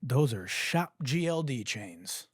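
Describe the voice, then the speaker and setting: Animated, profane male podcast host. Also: mid-range voice, slow pace, breathy edge, no echo, clean studio sound. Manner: profane, laughing, incredulous ranting. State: hoarse, says he has lost his voice. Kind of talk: casual comedic commentary.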